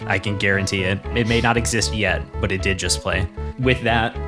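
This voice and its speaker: A man's voice: fairly low pitch, steady tone, normal speed, over music. A young American man from Wisconsin chatting casually as a podcast co-host. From Wisconsin, United States. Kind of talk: casual chat.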